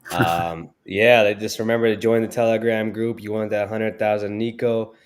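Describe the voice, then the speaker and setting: A man's voice: lowish voice, fairly even intonation, normal speed, clear, resonant tone. A man on a casual livestream show, rallying listeners in a hyped way.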